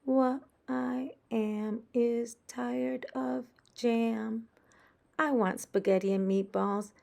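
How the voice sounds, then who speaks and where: A woman puts on a picky little girl critter from a storybook. She is plaintive and sing-song, pitched up and drawing her words out slowly. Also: fairly even intonation, vocal fry, a little mellow, exaggerated voice. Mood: sad, pleading.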